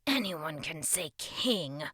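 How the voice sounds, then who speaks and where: Woman playing a doubtful boy, animated and defiant. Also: high voice, a little slow, dry recording, studio quality, voice pushed up, exaggerated voice. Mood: mocking. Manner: grumbling, mocking, suspicious.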